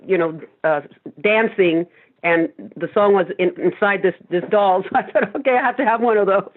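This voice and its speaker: A woman from Cuba, a Spanish speaker, chatting as a guest singer-songwriter and telling rambling stories with frequent laughs. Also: lowish voice. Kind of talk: casual interview chat. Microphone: phone. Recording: tinny phone line.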